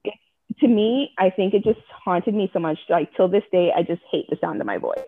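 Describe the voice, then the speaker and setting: A woman from Jamaica speaking as an interview guest, talking earnestly and frankly in quick asides over a remote call. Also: mid-range voice, slightly rough, no echo. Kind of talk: candid personal interview. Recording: tinny phone-like line.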